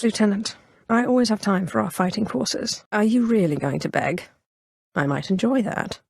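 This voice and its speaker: A woman's voice: medium pitch, gravelly, no room sound, studio quality. A woman acting a haughty, sardonic young Sith sorceress in a video game, with a cool, imperious and dryly mocking delivery. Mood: formal, composed, then amused, menacing. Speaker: video game player character. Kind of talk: scripted video game dialogue.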